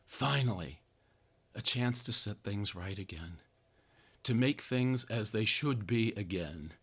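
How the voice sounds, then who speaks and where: Man, American accent, slightly low pitch, dramatic essay reading, sardonic, theatrical, heavy stress on key phrases. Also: animated, slow pace, fairly bright tone, breathy edge. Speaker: podcast host.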